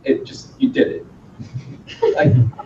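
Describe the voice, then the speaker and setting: A man moderating a career panel from a conference room, asking questions in a casual, relaxed way. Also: unhurried. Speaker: host and moderator. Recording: distant, dull room mic with faint noise.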